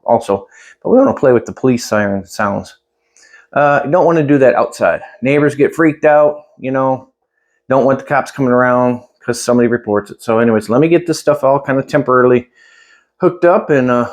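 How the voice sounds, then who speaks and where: A man's voice: medium pitch, darkish tone. A man giving a casual, hands-on product demonstration indoors, thinking aloud in a plain-spoken, rambling way. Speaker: product reviewer. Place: indoors at home.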